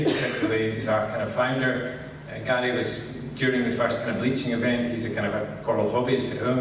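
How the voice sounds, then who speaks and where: A middle-aged man from Scotland with a Scottish accent, giving a relaxed conference talk and saying "kind of" constantly. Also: medium pitch, slight background noise. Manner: relaxed, filler-heavy, says "kind of" constantly. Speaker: conference speaker. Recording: echoey hall, distant mic.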